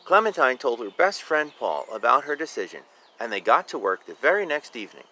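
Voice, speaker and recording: A man's voice: mid-range voice, sing-song, a little fast, pushed and bright, rough recording. A man reading a picture book aloud expressively, with informal asides about the illustrations. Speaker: reader of a children's picture book.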